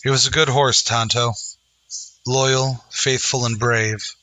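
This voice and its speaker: Man acting bright-voiced, noble Lone Ranger. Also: mid-range voice, fairly even intonation, takes it slowly, rough recording. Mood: solemn, wistful. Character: Lone Ranger, resolute, noble masked western hero. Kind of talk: old-time radio western acting.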